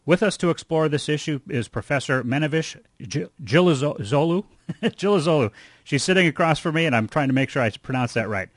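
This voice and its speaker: Man, interview show host, medium pitch, measured formal delivery, dry studio sound. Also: dry recording. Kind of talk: introducing a guest and asking questions.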